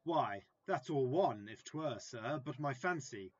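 A man playing a vain, gullible, self-important would-be gentleman in an early modern comedy audio drama. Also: mid-range voice, lively intonation, a little slow, slightly rough. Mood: insistent. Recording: slightly dull, lo-fi remote recording.

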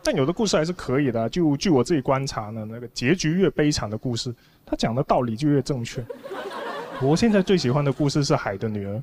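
A man's voice: mid-range voice, animated, fast talker, a little mellow. A man in his thirties performing a stand-up comedy monologue on a studio stage, telling stories conversationally with mock indignation into a handheld microphone. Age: 30s. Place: large TV studio stage with audience. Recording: clear audience noise behind the voice. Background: audience noise.

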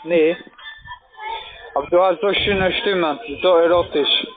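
High-pitched foreign-accented man on the phone. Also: fairly even intonation, slow pace. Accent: foreign, non-native German. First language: not German. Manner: persistent, repeats questions and instructions. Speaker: cold caller, phone scammer. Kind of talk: phone sales pitch, pushy small talk. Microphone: phone call. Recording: phone-like narrow sound with music. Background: music.

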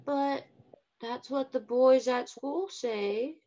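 A woman voicing an eager, curious, innocent little boy in a radio drama, with childlike, halting questions. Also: sits high, mellow. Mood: innocent, puzzled. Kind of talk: radio drama read-through. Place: home room, remote video call. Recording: dull lo-fi video call.

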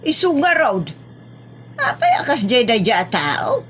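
Sassy higher-voiced Ilocano village woman, acted by a woman. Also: wildly swooping pitch, measured pace, fairly bright tone, a little hiss or hum, exaggerated voice. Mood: scolding. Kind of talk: comedy drama acting.

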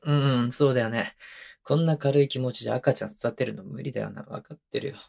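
A man's voice: medium pitch, fast, gravelly, a little mellow. A male voice actor playing a playful, clingy, childlike young husband in an intimate ASMR drama, coaxing and teasing in a casual, hesitant way. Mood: earnest, tender. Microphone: close microphone, binaural.

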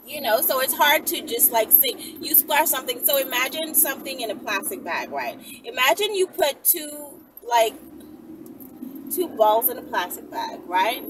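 A woman's voice: sits high, sing-song, normal speed. A young adult woman giving casual, emphatic instructional chat to camera from inside a moving car, repeating phrases and checking in with the listener. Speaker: vlog host answering viewer questions. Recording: distant mic, loud car road noise.

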